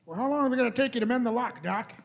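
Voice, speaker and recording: A man's voice: very high-pitched, rapid-fire, creaky. A man playing a cheeky, impatient young travelling companion in a radio play, colloquial and questioning. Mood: curious, pressing. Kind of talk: live staged radio play.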